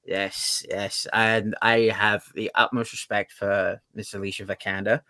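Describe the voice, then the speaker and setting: Lower-voiced man in casual chat. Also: little pitch movement, a little slow, pushed and bright. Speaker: podcast co-host.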